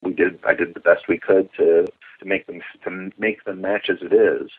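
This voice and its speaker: A man with a fairly deep voice talks as a guest on a call. He explains in long, earnest, emphatic runs. The line sounds dull and narrow, like a telephone. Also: steady tone, normal speed, slightly rough. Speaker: podcast guest, radio drama enthusiast. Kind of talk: conversational interview, explaining at length.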